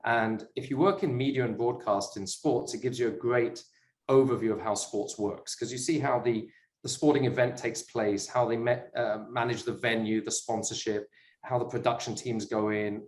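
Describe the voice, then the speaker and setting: A man's voice: fairly low pitch, fairly even intonation, moderate pace. Chatty middle-aged British man, echoey room. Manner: self-deprecating, chatty, with frequent fillers. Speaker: guest, sports investor. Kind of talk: casual interview talk.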